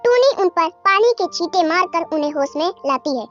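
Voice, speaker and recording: A woman narrates a children's story in an extremely high voice. The recording is a bit dull, with soft music playing underneath. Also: lively intonation, moderate pace.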